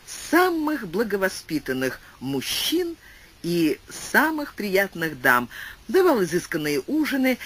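Husky voice reading a classic tale, swoops up and down a lot, drops into little footnotes. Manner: animated reading with wide pitch swings; reads explanatory footnotes aloud. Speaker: audiobook narrator. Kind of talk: scripted literary narration.

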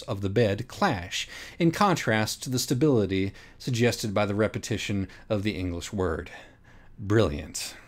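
Low-pitched man reading with mock solemnity. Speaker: audiobook reader. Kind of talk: dramatic, profane comic narration of a parody children's book.

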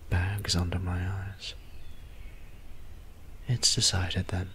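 A man acting as a gentle, wistful vampire priest in an ASMR roleplay. The low voice stays breathy and almost whispered, moving very slowly through formal, self-reproaching musings. The delivery is level and subdued, with little rise or fall. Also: slight background noise. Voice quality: soft, breathy, near-whispered. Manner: formal, archaic, musing diction.

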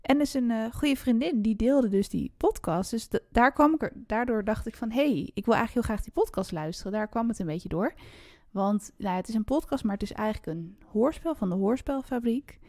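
Woman, Dutch accent, fairly high voice, upbeat casual podcast chat, close dry sound. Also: animated, fairly quick, few pauses, a little mellow, no echo. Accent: Dutch (Netherlands). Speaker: book podcast host.

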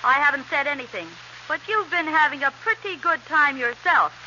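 Brusque breadwinner wife for 1930s radio comedy; high-pitched, animated, faint hiss behind. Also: female voice, normal speed, full character voice. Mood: bossy, dismissive. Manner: brusque, commanding, condescending. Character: bossy breadwinning wife in a role-reversal sketch. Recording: dull, hissy 1930s radio recording.

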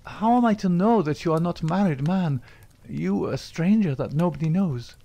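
A man's voice: fairly high pitch, expressive, moderate pace, warm tone, voice pushed up. A man voicing an anguished pleading young woman. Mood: suspicious, anguished. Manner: imploring, breathless, emotional. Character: pleading anxious young woman, lover of an outlaw.

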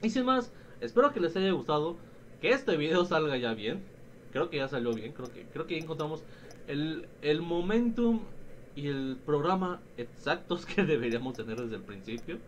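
Animated young Mexican man commentating gameplay. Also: fairly high pitch, normal speed, lo-fi sound, a little hiss or hum. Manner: informal, slangy, profane. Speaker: gaming YouTuber.

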